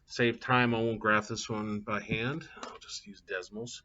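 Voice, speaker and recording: A man's voice: fairly low pitch, flat delivery, a little slow, bright, forceful tone, slight background noise. A middle-aged man teaching a live calculus class, chatting casually and thinking aloud with fillers. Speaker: math instructor. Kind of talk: live class lecture with anecdotes. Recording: dull lo-fi classroom capture.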